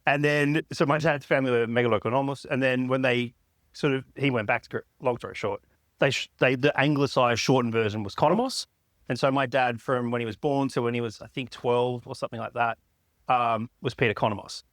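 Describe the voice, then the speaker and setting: An Australian man with a mid-range voice chats as a podcast guest. He tells his family story with quick asides and restarts. The recording is close and dry. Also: medium pitch, steady tone, a bit bright, no echo. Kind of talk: casual storytelling chat.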